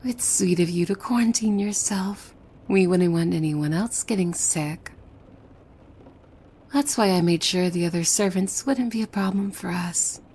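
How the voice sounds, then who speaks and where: Woman acting a breathy, slow-speaking possessive demon maid. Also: fairly low pitch. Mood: coaxing, knowing. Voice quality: soft, breathy. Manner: slow, formal, coy, often dropping into breathy whispers. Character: possessive, devoted young female demon maid, sweetly menacing. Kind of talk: ASMR roleplay, scripted monologue to the listener.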